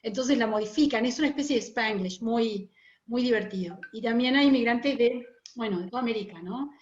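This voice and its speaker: A woman's voice: a little high, brisk. A woman from Uruguay with a Rioplatense accent chats in an explanatory way as an interview guest, over a muffled, roomy internet call. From Montevideo, Uruguay. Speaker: guest, oral storyteller. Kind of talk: casual interview chat.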